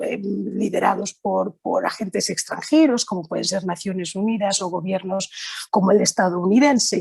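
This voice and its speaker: A woman's voice: mid-range voice, gravelly, slightly rough, dry recording. A middle-aged woman from Valencia with a Spain Spanish accent, giving an informal conference talk as a lawyer and panelist, searching for her phrasing and correcting herself. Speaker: human rights lawyer, panel speaker.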